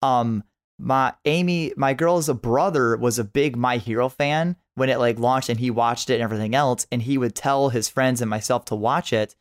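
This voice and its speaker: A man's voice: medium pitch, moderate pace. A man chatting casually as a guest on a podcast. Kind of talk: casual chat.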